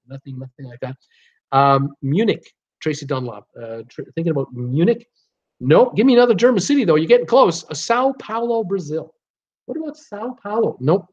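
A man in his sixties hosting a casual livestream quiz from a home office, reading out guesses and answering himself in short, clipped phrases. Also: a little high. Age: 60s. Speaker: livestream host. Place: small home office, indoors. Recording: slightly dull webcam audio.

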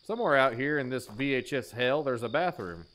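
Animated man joking through a horror game. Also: mid-range voice, a little fast. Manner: crude, playful, exaggerated delivery. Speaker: streamer playing a horror game. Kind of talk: joking gameplay commentary.

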